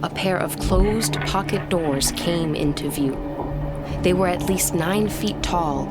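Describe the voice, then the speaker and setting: Woman acting haunted young woman, low-voiced, over music. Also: lightly acted. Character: young woman recounting a terrifying ghostly vision, curious, fearful. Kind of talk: gothic audio drama narration. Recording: loud dramatic music under the voice.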